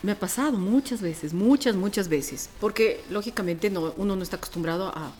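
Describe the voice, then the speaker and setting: A woman with an Ecuadorian accent and a deep, strong voice, chatting casually and colloquially as a radio presenter telling stories in an animated way. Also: a little fast. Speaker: radio presenter being interviewed. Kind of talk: casual podcast chat. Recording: music playing behind the voice. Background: music.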